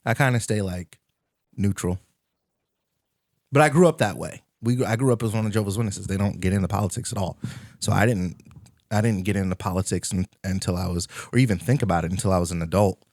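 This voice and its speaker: A man's voice: low-pitched, quick pace, a little mellow, dry recording, clean studio sound. A young man hosting a casual podcast, laid-back and chuckling, with trailing restarts. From Indiana, US. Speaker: podcast host.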